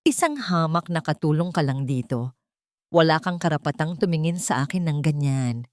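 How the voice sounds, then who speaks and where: A woman's voice: deep voice, animated, normal speed, a little mellow, no echo, studio quality. Woman voicing a cold, haughty rich lady boss. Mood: mocking, angry. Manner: contemptuous, commanding. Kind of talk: dramatic Tagalog audio story dialogue.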